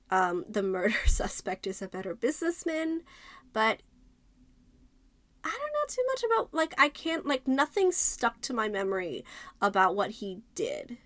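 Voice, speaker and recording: A woman's voice: a little high, fairly bright tone, no room sound. A woman giving a casual, conversational book review, thinking aloud and stressing her points as she talks to viewers.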